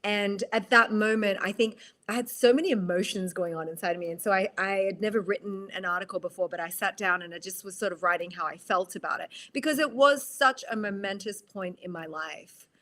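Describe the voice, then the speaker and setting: An Australian woman from Australia, a guest on a podcast interview, speaking reflectively with many halting restarts. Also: medium pitch, fairly bright tone. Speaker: guest, actress.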